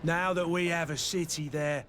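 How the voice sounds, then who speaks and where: An actor playing a talkative, world-weary tavern keeper in a fantasy game, with a folksy storytelling manner. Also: male voice, a little high, fairly even intonation, normal speed, fairly bright tone, close to an everyday voice. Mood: welcoming. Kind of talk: video game dialogue acting.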